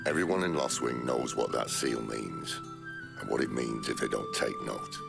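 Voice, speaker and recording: An actor playing a gruff, wry middle-aged rebel leader in a dark fantasy game, with teasing, unhurried authority. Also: male voice, loud music behind the voice, exaggerated voice. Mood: confident. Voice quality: gravelly, deep. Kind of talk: video game cutscene acting.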